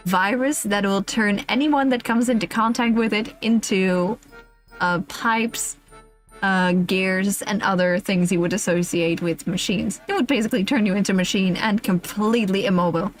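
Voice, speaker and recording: A woman's voice: mid-range voice, moderate pace. A woman streamer reading a humorous list aloud in a casual, playful, giggly way, with offhand asides. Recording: music playing behind the voice. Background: background music.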